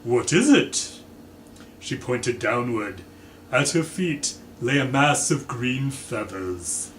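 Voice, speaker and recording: A man giving a dramatic, deliberate reading of a story as its theatrical narrator. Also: medium pitch, animated, fairly bright tone. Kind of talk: dramatic audiobook-style narration. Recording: echoey room, faint background noise.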